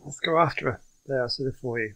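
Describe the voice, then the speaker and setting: A man's voice: mid-range voice, fast talker, vocal fry, a bit bright, a little hiss or hum, poor audio. A man giving casual, muttered running commentary as he plays a video game, with game music behind him. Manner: mutters running reactions to gameplay. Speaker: gaming video creator. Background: game music and sounds.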